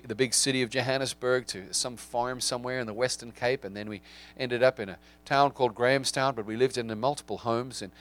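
A man in his forties with a South African accent, giving a reflective sermon with frequent restarts and pauses. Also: mid-range voice, fairly bright tone. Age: 40s.

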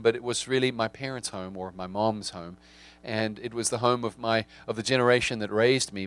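A man in his forties with a South African accent, giving a reflective sermon with frequent restarts and pauses. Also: mid-range voice, a bit bright, smooth. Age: 40s.

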